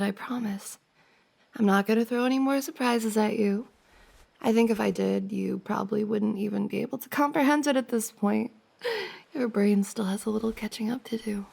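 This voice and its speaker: A woman playing a giggly, teasing young woman confessing to her crush in an intimate ASMR roleplay, with a creaky voice. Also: fairly high pitch, a little mellow, full character voice. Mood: teasing, tender. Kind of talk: intimate ASMR roleplay, acted as one side of a conversation.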